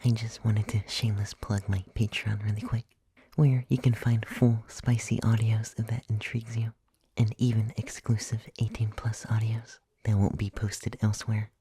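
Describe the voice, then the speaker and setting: A man who creates ASMR audio, talking casually to listeners in a soft, breathy, often whispered voice. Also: lowish voice, flat delivery, moderate pace, no echo. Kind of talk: casual soft-spoken ASMR channel plug.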